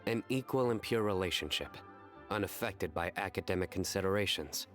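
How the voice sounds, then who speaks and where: A man playing a stern young lawman in a game cutscene, speaking in a serious, measured, terse way. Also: deep voice, fairly bright tone, faint music behind. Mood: grave, caring. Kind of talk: video game cutscene acting.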